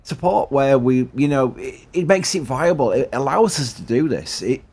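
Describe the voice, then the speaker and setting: A man's voice: mid-range voice, lively intonation, moderate pace, a little mellow, husky. A man with a Yorkshire accent hosting a casual live commentary stream, chatty, laughing often and reading out viewer questions. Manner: chatty, laughs often, thanks supporters, restarts a lot. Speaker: livestream host.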